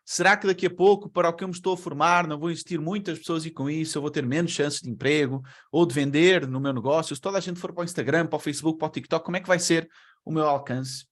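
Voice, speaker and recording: A man's voice: a little high, steady tone, fast talker. A man with a European Portuguese accent, born in Brazil, giving a webinar talk in a conversational way and asking rhetorical questions. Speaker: webinar speaker. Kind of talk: presentation to an online audience.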